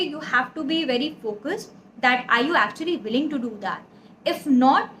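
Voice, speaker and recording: Woman with Indian accent, high voice, earnest advice-giver explaining directly to viewers; roomy echo. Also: a bit bright. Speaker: career advice host. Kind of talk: advisory talk to camera. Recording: echoey room, audible background noise.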